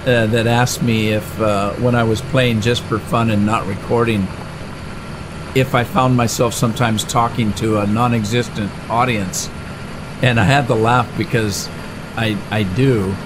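A man's voice: fairly low pitch, steady tone, unhurried. A middle-aged man hosting a farming game let's-play, talking in a chatty, rambling way with frequent fillers and restarts. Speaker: gaming channel host. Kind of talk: casual let's-play commentary. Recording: game engine noise clearly behind the voice. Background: tractor and engine sounds from the game.

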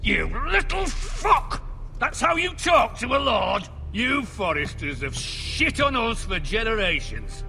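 An actor playing a hostile, sneering middle-aged lord in a dramatic fantasy video game. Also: male voice, very high-pitched, sing-song, takes it slowly, creaky, bright, forceful tone, soft background music, heavily acted. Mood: furious. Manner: sneering, blustering, profane. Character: hostile, foul-mouthed middle-aged lord, gloating and quick to rage.

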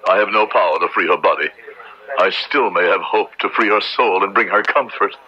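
An actor playing a stern, dutiful Puritan judge in a radio drama, with a formal, authoritative, archaic way of speaking. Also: male voice, low-pitched, a little fast, lightly acted. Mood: stern, resolute. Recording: dull old radio recording.

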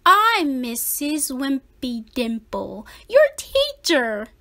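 A big, round lady cat teacher played by a woman reader makes announcements in a high, swooping voice. She is cheerfully authoritative and emphatic, speaking slowly for a storybook audience of pupils. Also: huge pitch swings, pushed and bright, full character voice. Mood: cheerful, proud. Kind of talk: storybook read-aloud character.

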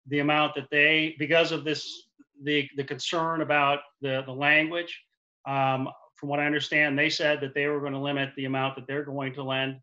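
Man in his forties, lender giving a webinar over a headset; forceful bright tone, restarts often, lo-fi call audio. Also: a little high. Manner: explanatory, with frequent restarts. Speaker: commercial lender. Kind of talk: webinar presentation. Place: office with printed backdrop. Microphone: headset boom mic on a video call.

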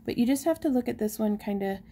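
A chatty woman, showing handmade stones in a casual shop update, talking softly and excitedly over the camera. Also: fairly high pitch, fast talker. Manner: chatty and excitable, drops into soft whispers over pretty stones. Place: indoors at a craft table.

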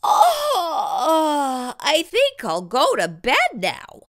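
A woman's voice: sits high, huge pitch swings, drawn-out words, pitched above the performer's own voice, full character voice. A woman voicing an eager, self-satisfied young male cat in a children's storybook, earnest and boastful. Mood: weary.

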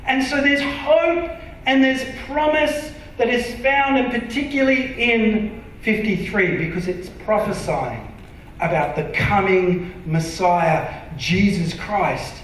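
An Australian man preaching a sermon from a church stage, earnest and emphatic, sometimes dropping to a soft, intimate tone. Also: sits high, takes it slowly, a little hiss or hum. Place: large dark church auditorium stage. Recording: very echoey hall from far off.

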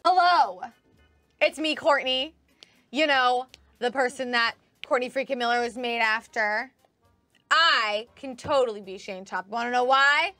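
Giggly high-voiced young woman. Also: a little slow, sharp tone, no room sound, soft background music. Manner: playful, giggly, mock-boastful. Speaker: stream show cast member. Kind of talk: comedic improv pitch in a card game. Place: studio set with a card table.

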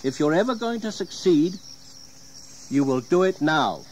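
A man's voice: on the high side, very animated, unhurried, slight background noise. An actor playing a stern, disappointed English squire and father, grave and formal, in an audio history drama.

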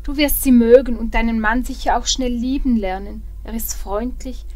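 A dwarf mother voiced by a woman for a fantasy audio play. She speaks in a lightly raised pitch, measured and gently persuasive, over background music. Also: fairly even intonation, close to an everyday voice. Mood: reassuring, persuasive. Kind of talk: fantasy audio drama acting.

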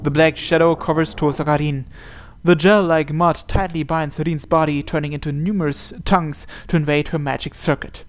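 A man's voice: a little high, fairly even intonation, moderate pace, a little hiss or hum. A man narrating a visual novel aloud as host, reading the scripted text.

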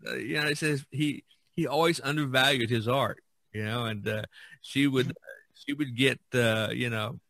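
Bright-voiced man telling stories casually. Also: mid-range voice, steady tone, normal speed, dry recording. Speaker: podcast host. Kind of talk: casual conversational storytelling.

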